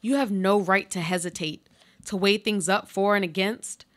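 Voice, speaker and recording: A woman acting in a live radio play as a trapped woman stubbornly clinging to life, speaking in solemn, deliberate monologue. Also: mid-range voice, steady tone, natural voice. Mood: stern, insistent.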